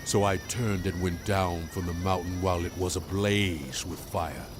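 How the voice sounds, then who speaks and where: A man's voice: low-pitched, music in the background. A male actor playing a stern prophet addressing his people in a dramatized scripture reading, with grave, emphatic oratory. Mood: grave reproach. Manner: grave, deliberate, emphatic phrasing.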